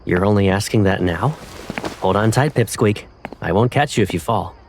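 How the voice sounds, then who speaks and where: A man voicing an affectionate, protective young man who teases gently, in a fan dub of a romance game. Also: on the low side, normal speed, slight background noise, lightly acted. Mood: gentle teasing.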